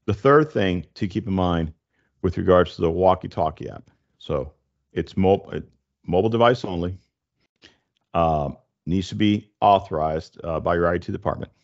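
A man in his forties hosting a casual tech explainer, speaking in a halting, stop-and-start way into a close desk microphone. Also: sits low, highs cut off. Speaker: tech show host. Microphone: desk condenser microphone, close, with headset.

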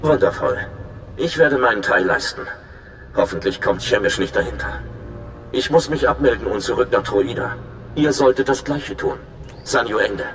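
Earnest low-voiced agent played by an actor, echoing. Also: male voice, expressive, normal speed, soft background music, close to an everyday voice. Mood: relieved. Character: earnest young male undercover agent, conscientious and worried. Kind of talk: dramatic video game acting.

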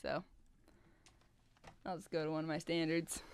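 A woman's voice: deep voice, flat delivery, normal speed, smooth, no echo. A young woman singer talking casually between songs into a stage microphone. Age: young adult. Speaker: singer-guitarist. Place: small curtained indoor stage. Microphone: stage microphone on a stand. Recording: live stage sound with guitar.

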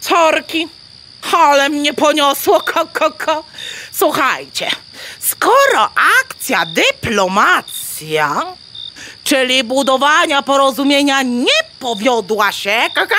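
A woman voicing a bossy, sharp-tongued hen commander in a children's audio play, clucking between commanding phrases. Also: high voice, big pitch swings, takes it slowly, a little hiss or hum, heavily acted. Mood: businesslike. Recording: faint outdoor cricket ambience.

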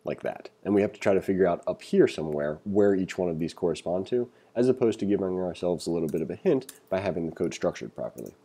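Guy walking thru code casually, really mellow dark low voice, kinda thinking out loud. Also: animated, fast, hardly any pauses, breathy edge. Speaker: coding instructor. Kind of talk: informal tutorial read-along narration.

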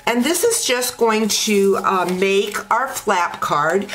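Slow-talking woman demonstrating card making. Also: medium pitch, low-quality recording. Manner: slow, relaxed talk with frequent ums and uhs. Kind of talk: step-by-step craft tutorial. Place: craft table indoors.

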